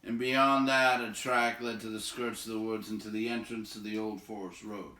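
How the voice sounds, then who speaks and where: A man hosts a storybook read-aloud, moving between narration and informal commentary. His voice sits a little low but has a bright, forward edge. The room adds a clear echo around his words. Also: level tone, normal speed. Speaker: read-aloud host and reader. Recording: roomy, echoing home recording.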